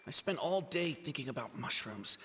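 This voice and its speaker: A man's voice: medium pitch, expressive, moderate pace, a bit bright, slight background noise, lightly acted. A man voicing a weary, exasperated townsman in a fantasy video game.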